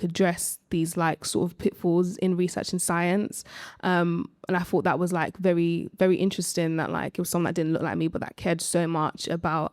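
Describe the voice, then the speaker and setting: Lowish, even-toned woman on a panel. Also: dry recording, studio quality. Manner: informal, frequent "like". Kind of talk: casual panel discussion.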